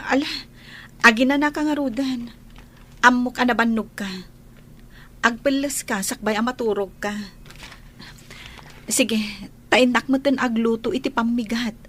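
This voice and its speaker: A woman's voice: on the high side, unhurried, pauses often. A woman playing a devoted, anxious young wife in an audio drama. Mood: caring. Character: devoted, worried young wife who grows suspicious.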